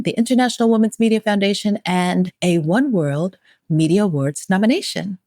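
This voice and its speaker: A woman's voice: medium pitch, dry recording, clean studio sound. A woman hosting a podcast, reading a guest's bio and chatting casually, with lots of encouraging murmurs. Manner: lively, encouraging, frequent murmured affirmations. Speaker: podcast host. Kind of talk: casual interview chat.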